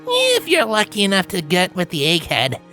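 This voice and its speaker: A woman's voice: medium pitch, wildly swooping pitch, normal speed, sharp tone. A woman voicing a brash, sardonic young female pony in a cartoon story. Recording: music behind the voice.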